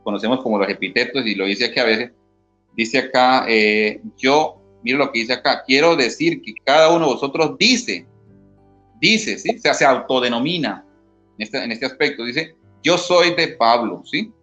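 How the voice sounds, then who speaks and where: A man's voice: mid-range voice, expressive, moderate pace, bright, forceful tone. A man from Colombia, an interview guest explaining Bible passages in a teacherly way and often checking in with "¿sí?". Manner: teacherly, reads scripture aloud, asks "¿sí?" often. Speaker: interview guest, former church member. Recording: dull lo-fi audio with faint guitar music.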